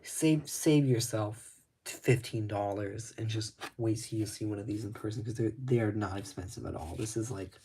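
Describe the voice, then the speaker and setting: A man showing records from his collection in casual talk, laid-back and rambling, his voice slightly raspy, with frequent ums and restarts. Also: on the low side, normal speed, dark tone.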